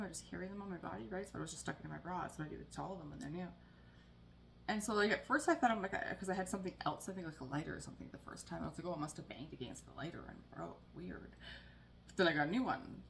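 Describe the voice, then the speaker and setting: A woman with a low, gravelly voice chats her way through a card reading, rambling and casual. She drops in the odd swear word. A faint background hiss sits under her voice. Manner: chatty, rambling, swears casually, frequent laughs and "like". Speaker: tarot reader. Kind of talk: casual spiritual reading to camera.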